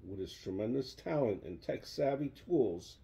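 A man's voice: medium pitch, animated, moderate pace, vocal fry, background noise. A man in his thirties, a father reading a children's book aloud at bedtime. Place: small child's bedroom. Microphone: phone held close.

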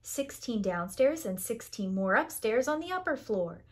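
A knowing mother hen from a storybook, read by a woman. She speaks in a high, animated voice. Her manner is emphatic and instructive. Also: normal speed. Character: knowing, helpful mother hen explaining to children.

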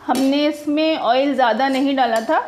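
A woman's voice: high-pitched, moderate pace, slight background noise. A woman narrating her own cooking video step by step, chatty and homely, explaining each step as she cooks in her home kitchen. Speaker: cooking vlogger.

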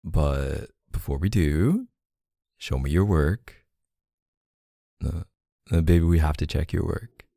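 Man acting as deep, gravelly, teasing boyfriend. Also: dry recording, clean studio sound, full character voice. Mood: teasing, bossy. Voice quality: low, gravelly, soft. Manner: teasing, murmuring, hesitant pauses and hums. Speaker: audio roleplay performer. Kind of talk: intimate ASMR boyfriend roleplay.

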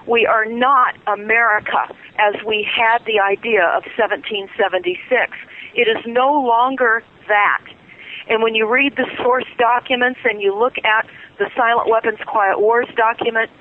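A woman guest speaking urgently and insistently over the phone on a radio talk show, with frequent filler ums. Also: fairly high pitch. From California. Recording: tinny phone line.

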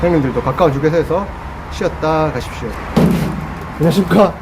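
Vlogger, man in his 30s, quick high-pitched playful chat, outdoor noise. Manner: playful, animated, joking to viewers. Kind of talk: casual outdoor vlog chat. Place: outdoors under a roof by a roadside rest area.

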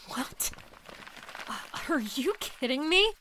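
A woman's voice: high-pitched, big pitch swings, slow pace, sharp tone, slight background noise, heavily acted. A woman playing a supportive, no-nonsense friend in an audio drama, direct and reassuring. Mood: alarmed. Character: Stacy, supportive, no-nonsense young female friend.

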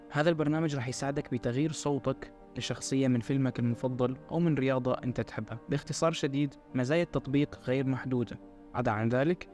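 Man, 20s. Brisk tutorial presenter for a promo video, faint music bed. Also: mid-range voice, a little mellow. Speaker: software review presenter. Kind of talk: scripted promotional tutorial narration. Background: background music.

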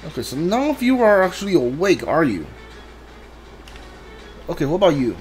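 High-pitched man commentating a video game. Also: big pitch swings. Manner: halting, stumbling over words. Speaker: gamer streaming a playthrough. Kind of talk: casual gameplay commentary, reading dialogue aloud. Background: game music.

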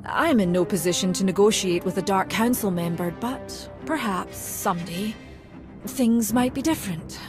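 Woman playing imperial colonel, mid-pitched, formal, dryly courteous, video game, music playing behind. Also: moderate pace, lightly acted. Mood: gracious. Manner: crisp, formal, dryly polite military diction. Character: poised female imperial army colonel, dutiful and courteous.

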